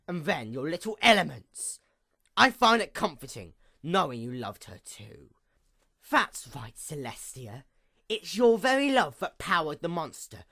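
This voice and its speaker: Performer as female pony night goddess, villain monologue: bitter, imperious, formal, hugely varied intonation, mid pitch. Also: exaggerated voice. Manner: grandiose, formal, swinging from outbursts to hushed menace. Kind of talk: dramatic reading, theatrical villain monologue.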